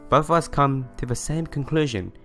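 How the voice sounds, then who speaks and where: A man hosting a let's play, reading visual novel narration aloud in an expressive storytelling manner. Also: medium pitch, moderate pace. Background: background music.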